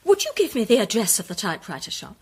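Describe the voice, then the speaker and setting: A woman's voice: a little high, big pitch swings, quick pace, breathy edge. A woman playing a poised, incisive lady amateur detective in a radio drama, precise and formal. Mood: probing. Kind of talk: British radio drama acting.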